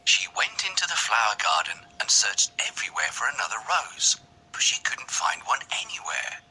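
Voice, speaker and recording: A man's voice: on the low side, huge pitch swings, moderate pace, sharp tone, husky, poor audio. A man reading scripted narration for a children's fairy tale as the storyteller.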